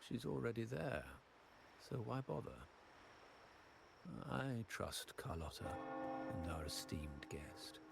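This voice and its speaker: Very gravelly calculating patriarch. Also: male voice, mid-range voice, big pitch swings, slow, long pauses, music in the background, full character voice. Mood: calm, calculating. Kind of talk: scripted video game voice acting. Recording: loud ambient noise.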